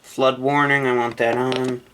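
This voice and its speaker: A man's voice: mid-range voice, little pitch movement, unhurried, fairly bright tone, a little hiss or hum. A man casually talking through a gadget setup as a vlogger, thinking aloud as he reads out options and decides. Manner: thinking aloud, reading menu items then deciding. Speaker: vlogger setting up a weather radio. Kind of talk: casual unboxing and setup chat.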